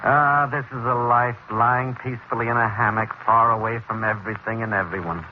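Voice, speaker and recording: Actor as emphatic husband in dull vintage radio drama. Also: male voice, medium pitch, fairly even intonation, brisk, a little hiss or hum, natural voice. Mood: cheerful, affectionate. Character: devoted, stubborn husband, defiant and trusting. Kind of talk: 1950s radio acting.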